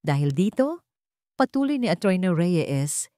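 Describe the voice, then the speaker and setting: Low-pitched woman narrating a dramatic story. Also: sing-song, measured pace, mellow, no room sound, clean studio sound.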